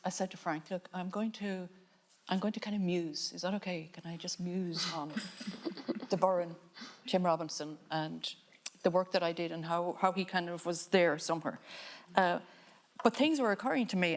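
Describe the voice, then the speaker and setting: An Irish woman, a filmmaker on a panel, giving a reflective talk in a musing, halting way with frequent restarts. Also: lowish voice. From Ireland.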